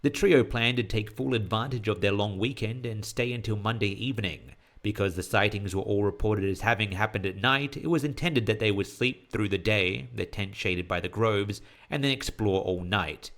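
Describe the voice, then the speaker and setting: Male narrator, lowish pitch, scripted horror storytelling. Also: moderate pace, hardly any pauses, a bit bright.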